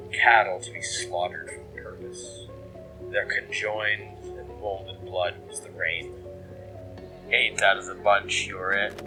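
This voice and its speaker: Casting: obsessed male dreamer acted by a man for horror audio drama, very low, flat pitch, brooding and hushed, music behind. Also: low-quality recording. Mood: ominous. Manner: brooding, hushed, dropping into whispers. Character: obsessed, reverent male dreamer logging eldritch nightmares. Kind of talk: scripted horror monologue.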